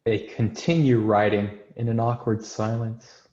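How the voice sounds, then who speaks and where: A man's voice: on the low side, steady tone, measured pace, warm tone, roomy sound, muffled recording. A man reading screenplay stage directions as scripted narration.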